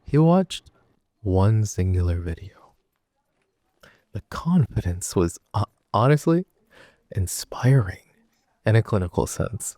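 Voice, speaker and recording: A man's voice: lowish voice, sing-song, dark tone, dry recording. A young man playing a chatty, teasing college best friend in an intimate roleplay, rambling wryly and interrupting himself. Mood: amused, animated. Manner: rambling, wry, halting with self-interruptions. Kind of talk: intimate boyfriend roleplay audio, casual storytelling.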